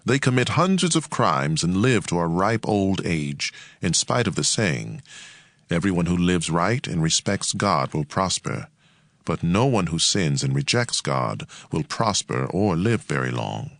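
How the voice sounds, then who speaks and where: A man reading scripture as a dramatized audio Bible narration, in a measured and expressive way. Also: low voice, creaky, no echo, studio quality.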